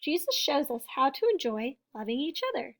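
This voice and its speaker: A woman reading a children's picture book aloud, with deliberate, emphatic phrasing and small explanatory asides for young listeners. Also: high-pitched, big pitch swings. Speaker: storybook reader and teacher.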